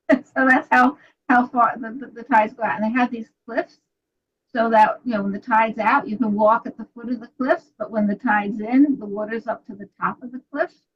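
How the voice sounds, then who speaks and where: A woman's voice: on the high side, steady tone, normal speed, slightly rough. An older woman from New York with a Brooklyn accent, an author chatting about her books over a video call. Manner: chatty storyteller, laughs often. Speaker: author guest. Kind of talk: casual interview chat. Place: home study with bookshelves. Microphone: video call webcam. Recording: dull lo-fi video call audio.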